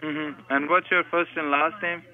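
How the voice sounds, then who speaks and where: Man, tinny phone speaker, slightly high voice, scripted sales caller, polite and formulaic. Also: flat delivery, moderate pace. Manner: formulaic, polite, repeatedly saying sir. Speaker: telemarketing caller posing as senior supervisor. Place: heard through a desk phone speaker. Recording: tinny speakerphone call audio.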